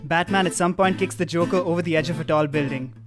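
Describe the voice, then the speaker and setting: Wry, higher-pitched male narrator. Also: fast. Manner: wry, deadpan. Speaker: narrator reading stage directions. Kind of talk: comic table read narration with ad-libbed asides. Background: live guitar music.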